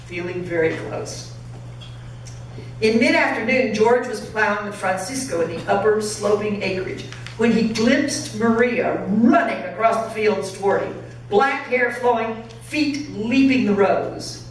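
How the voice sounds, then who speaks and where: A woman narrates a story with expressive, dramatic delivery, like a pastor reading to a congregation. Her pitch is average. She is recorded at a distance in a very echoey church, with faint noise in the recording. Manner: dramatic reading, acting out dialogue. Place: church sanctuary.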